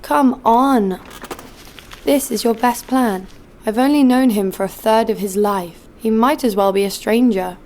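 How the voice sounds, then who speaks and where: A woman's voice: on the high side, a little slow, darkish tone, a little hiss or hum. An actress playing a cynical, hard-nosed female bounty hunter in an audio drama, with a blunt, sardonic delivery. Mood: hostile, blunt. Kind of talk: first-person audio drama narration and dialogue.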